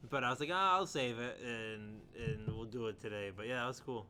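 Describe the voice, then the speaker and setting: A man's voice: medium pitch, brisk, sharp tone, slight background noise. A man chatting casually with his co-hosts, often hesitating and trailing off into fillers. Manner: chatty, hesitant, laughing asides. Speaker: show co-host. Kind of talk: casual banter among co-hosts.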